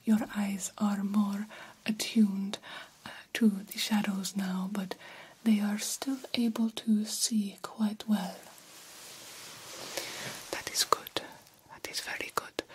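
A vampire doctor, played by a woman, whispers through an ASMR medical exam. The delivery is slow and very even, with little movement in pitch. The manner is formal yet caring, softly reassuring a young patient. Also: lightly acted, poor audio.

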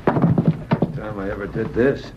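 An actor playing a mild, cooperative prospector with laconic rustic talk in an old-time radio drama. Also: male voice, on the low side, steady tone, takes it slowly. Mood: mild, doubtful. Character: mild, cooperative gold-rush prospector, a bit doubtful. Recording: noisy muffled old radio transcription.

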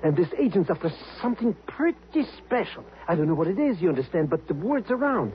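A man playing a nervous, excitable comic informant in a 1950s radio drama, talking in eager, theatrical slang. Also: high-pitched, fairly quick. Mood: eager, excited. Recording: muffled old radio broadcast.

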